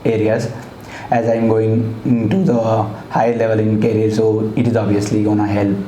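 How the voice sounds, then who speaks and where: Low, even-toned Indian man, echoey noisy room. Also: normal speed. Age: 20s. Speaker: client giving a testimonial. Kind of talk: interview answers. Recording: echoey room with background noise.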